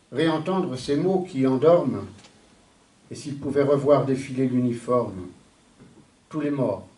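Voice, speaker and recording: A man recites poetry in a solemn, declamatory way, in measured phrases with deliberate pauses. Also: mid-range voice, a little mellow. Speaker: poet reciting a poem. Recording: echoey, distant from the mic.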